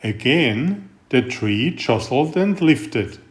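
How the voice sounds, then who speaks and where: A man reading a picture book aloud in a gentle, unhurried storytelling manner. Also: mid-range voice, very animated. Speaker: storybook reader. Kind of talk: slow read-aloud picture book narration.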